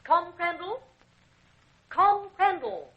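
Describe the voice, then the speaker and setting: An actress playing a brisk, businesslike, officious secretary to a criminal gang in an old radio drama. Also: female voice, extremely high pitch, very animated, heavily acted. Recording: dull vintage radio recording.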